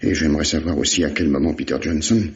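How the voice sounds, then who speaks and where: An actor playing a gruff, weary, relentless police detective in 1950s radio mystery style, questioning with menacing, mock-fatherly insistence. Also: a bass voice, quick pace, exaggerated voice. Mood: weary, obsessed. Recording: dull vintage radio drama recording.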